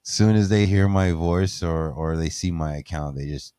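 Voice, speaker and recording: Deep-voiced guy hosting, super animated, hypes up the crowd, dry mic. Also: normal speed, clear, resonant tone, no echo. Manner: hyped, animated, talks straight to the audience. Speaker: podcast host. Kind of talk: casual chat. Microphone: studio mic.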